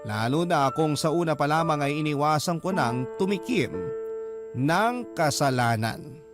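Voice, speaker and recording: A man's voice: fairly high pitch, unhurried. A man reading a scripted first-person letter as the narrator of a radio drama. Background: clear background music.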